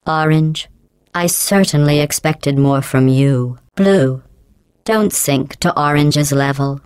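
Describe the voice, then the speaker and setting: A woman voicing a cold, sarcastic female AI in a game, with a robotic processed sound and a deadpan, mocking, passive-aggressive delivery. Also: deep voice, takes it slowly, clean tone, no echo, studio quality. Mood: dry mockery. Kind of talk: video game voice acting.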